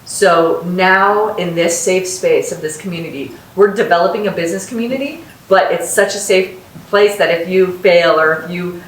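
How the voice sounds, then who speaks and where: A woman's voice: on the low side, moderate pace. A woman hosting a casual podcast, giving upbeat, encouraging advice to students. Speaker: host and company founder. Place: house in North Las Vegas, room with echo. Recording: roomy echo, distant mic, faint hiss.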